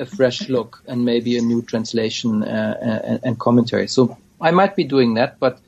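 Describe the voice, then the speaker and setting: Gravelly, flat-toned male academic. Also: mid-range voice. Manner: hesitant, thoughtful, frequent pauses. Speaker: scholar of Islamic studies, guest. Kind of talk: academic interview answers. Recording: dull lo-fi audio.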